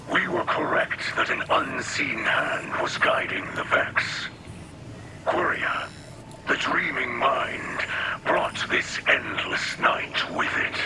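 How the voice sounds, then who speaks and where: A man voicing a solemn, devout alien mentor in a video game, with a breathy rasping whisper and formal, deliberate delivery. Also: mid-range voice, very noisy, close to an everyday voice. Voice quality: breathy, gravelly whispered rasp. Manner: slow, reverent, formal, deliberate. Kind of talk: scripted video game dialogue.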